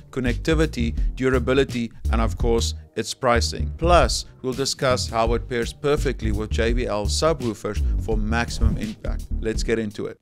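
A man in his forties presents a scripted product review in a clear, explanatory way, speaking close to a desk microphone. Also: mid-range voice. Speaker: presenter, audio technical director. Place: small furnished living room indoors. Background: background music.

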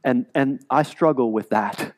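Animated man in his forties preaching. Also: medium pitch, measured pace. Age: 40s. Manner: animated, gesturing widely while preaching with frequent restarts. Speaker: pastor. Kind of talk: sermon. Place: large church sanctuary, speaking from the stage.

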